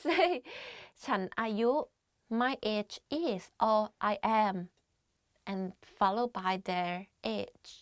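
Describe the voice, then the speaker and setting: Thai-accented woman, quick lively lesson host, higher voice, dry close mic. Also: dry recording. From Thailand. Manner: chatty, laughs, fillers of "like". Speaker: language teacher. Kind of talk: casual language lesson.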